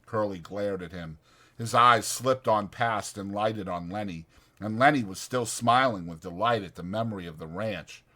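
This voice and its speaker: A man's voice: on the low side, moderate pace, sharp tone. A man narrating a novel as a dramatized audiobook.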